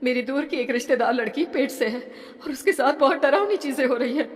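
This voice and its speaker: Casting: fearful motherly villager played by a woman, agitated raised pitch, horror tale, long reverb. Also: brisk, close to an everyday voice. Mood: anxious pleading. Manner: urgent, agitated, pleading. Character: frightened, anxious village woman, motherly aunt. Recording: reverberant, with background music.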